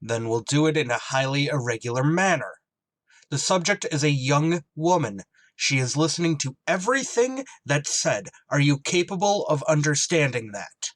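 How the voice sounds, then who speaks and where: An actor playing a gruff, tormented emergency ship pilot in a radio drama, terse and weary under strain. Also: male voice, a little high, expressive, normal speed, no room sound, lightly acted. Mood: angry, commanding. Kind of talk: radio drama acting with narrated inner monologue.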